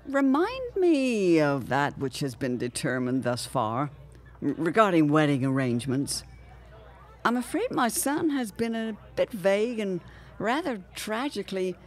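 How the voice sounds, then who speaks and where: A woman's voice: on the low side, wildly swooping pitch. Actress as haughty mother-in-law, swooping delivery. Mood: disdainful. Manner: imperious, pointed, deliberate. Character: haughty, barbed older mother-in-law, 1910s society matron. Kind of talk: period audio drama acting.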